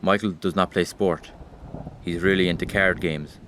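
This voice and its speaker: A man as deep, wry, world-weary Rain. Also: fairly even intonation, moderate pace, background noise, natural voice. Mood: wry, sombre. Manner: direct address to the listener, wry and reproachful. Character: Rain itself, a weary, judging male observer of humanity. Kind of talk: scripted audio drama monologue.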